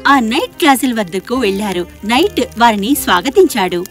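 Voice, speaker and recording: A woman narrating a scripted children's fairy tale in an expressive storytelling manner. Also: fairly high pitch, moderate pace, soft background music.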